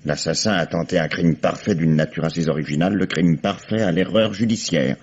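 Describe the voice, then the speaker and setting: An actor playing a shrewd, calm police commissioner with a husky voice and dry ironic authority, in a 1950s-style French radio drama. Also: male voice, sits low, a little fast. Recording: dull old lo-fi radio recording.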